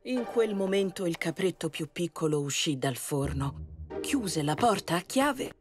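Animated woman narrating a fairy tale. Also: fairly low pitch, measured pace, loud music behind the voice. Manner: expressive storytelling. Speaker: storyteller, narrator.

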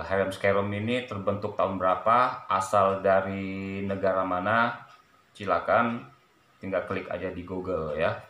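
A middle-aged man talks in a fairly low voice, casually presenting things as a home vlogger. His delivery is very slow and halting, with short repeated phrases. The room sounds clearly echoey, with some noise behind him. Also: fairly bright tone. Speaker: vlogger showing a cassette collection. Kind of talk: casual vlog chat, showing items. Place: small room at home, indoors.

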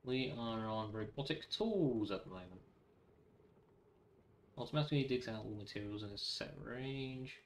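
A man's voice: mid-range voice, expressive, normal speed, a little hiss or hum. A man giving casual gameplay commentary, thinking aloud in a rambling way and reading game text out. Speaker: gaming video host. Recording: roomy echo, faint music behind.

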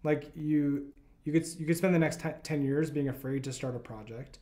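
A man in his thirties, a guest homeowner, talking in an easygoing, relaxed way in a historic parlor. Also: fairly high pitch, normal speed. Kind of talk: casual chat. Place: historic house parlor, indoors.

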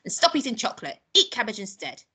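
Lady reading as mean old storybook grandma, snappy and bossy, raspy edge, very animated. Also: on the high side, fast talker, full character voice. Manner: bossy, scolding, relishing nasty things. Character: wicked, snappish, tiny old grandmother, maybe a witch.

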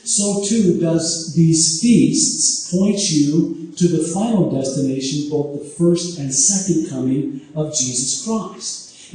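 A man whose first language is Arabic preaches emphatically and climbs to high exclamations. His voice sits high yet sounds mellow. He is heard from a distance in a big church hall with heavy echo. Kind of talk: prophecy sermon. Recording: echoey hall from far off.

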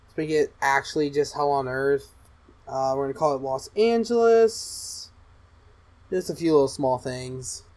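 A woman playing an excitable, mischievous gamer girl streamer who gleefully torments people in a livestream roleplay. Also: very deep voice, a little slow. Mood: mischievous, smug.

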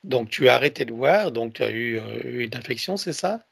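A man in his sixties, a hypnotherapist on a headset video call, asking calm probing questions between short acknowledgments. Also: mid-range voice, moderate pace, creaky, husky, no echo, clean studio sound. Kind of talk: therapy intake interview.

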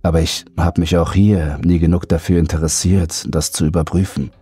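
A man's voice: flat delivery, fairly quick, no echo. A man reading a scripted first-person horror story as an audiobook narrator. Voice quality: deep, husky, mellow.

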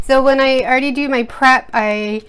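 A woman's voice: high voice, steady tone, normal speed, fairly bright tone, clear, resonant tone. An American woman hosting an art video, chatting casually and rambling with lots of fillers and restarts while she works at her desk. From United States. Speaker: art channel host. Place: indoors at a home desk. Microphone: overhead camera setup, speaker off-screen.

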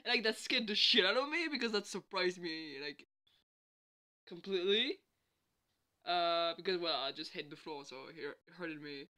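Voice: mid-range voice, normal speed, pushed and bright. A vlogger sick with a bad cold, chatting casually at home in a rambling way and laughing often. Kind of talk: casual late-night vlog chat. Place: indoors at home.

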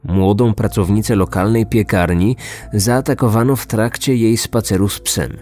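A man's voice: on the low side, moderate pace, a little mellow. A man in his thirties reading a scripted true crime podcast narration as its host. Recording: music bed under the voice. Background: background music.

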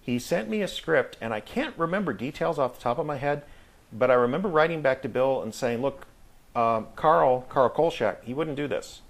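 A middle-aged American man reminiscing casually as a guest in an interview, speaking in a reflective, halting way. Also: medium pitch, lively intonation, creaky. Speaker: guest, audio drama actor.

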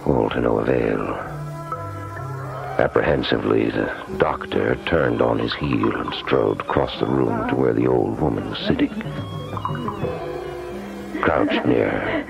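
Very deep, gravelly male horror narrator. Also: music in the background. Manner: slow, dramatic, menacing delivery. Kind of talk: ominous scripted horror radio narration. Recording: muffled old radio broadcast recording.

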